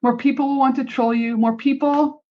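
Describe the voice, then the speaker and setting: A woman's voice: high-pitched, fairly even intonation, moderate pace, muffled recording. A woman with a Canadian accent hosting a solo motivational business podcast, talking in an emphatic, blunt, casual way. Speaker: podcast host.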